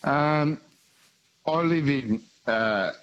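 A man with a broad Tasmanian Australian accent, calling in to a radio show, speaking haltingly with many ums and restarts. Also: medium pitch, a bit bright, highs cut off. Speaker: caller. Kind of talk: phone-in chat.

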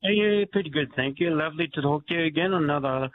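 A man's voice: a little high, fast, a little hiss or hum. Rambling man on a tinny phone line. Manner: rambling, many yeahs and restarts. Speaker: regular caller. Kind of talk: friendly chat on a call-in. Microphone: telephone.

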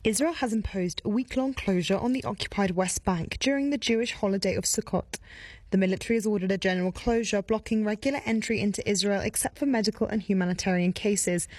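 A woman correspondent delivering a scripted news report in a crisp, brisk way. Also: mid-range voice, a little mellow, no echo. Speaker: foreign correspondent.

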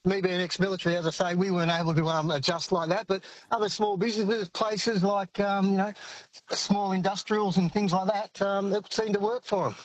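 An older man calling in to a radio show, talking haltingly with many pauses. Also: sits high, steady tone, no echo, poor audio. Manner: halting, many ums and uhs. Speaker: caller. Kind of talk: phone-in comment.

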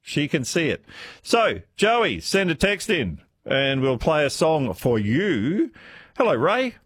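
Husky, lively radio host. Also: male voice, fairly high pitch, no echo, studio quality. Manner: chatty, playful, quick to laugh. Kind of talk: radio quiz phone-in. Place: radio studio. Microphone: studio microphone.

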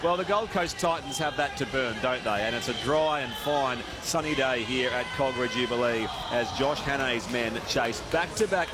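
Polished Australian rugby league reporter. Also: male voice, mid-range voice. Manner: polished, informative scene-setting. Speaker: sideline sports reporter. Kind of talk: sports broadcast report.

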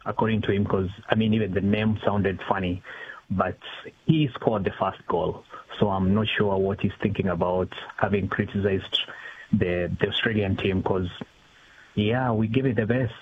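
A middle-aged man giving spontaneous interview answers, haltingly, repeating words as he thinks. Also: lowish voice, steady tone. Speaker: interview guest, community executive officer. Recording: tinny phone line with hiss.

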